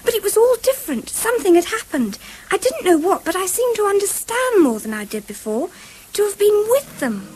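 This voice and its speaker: An earnest, dreamy little English girl in a vintage radio drama. Her voice is high and very lively, with precise, eager delivery over a faint hiss. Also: fairly quick, poor audio. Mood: hushed wonder. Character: earnest, imaginative little girl, politely chatty. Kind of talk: 1970s British radio drama acting. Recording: faintly hissy old radio broadcast.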